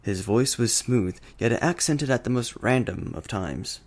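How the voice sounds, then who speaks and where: A man's voice: medium pitch, animated, a little slow. A man reading a fantasy story aloud as scripted narration.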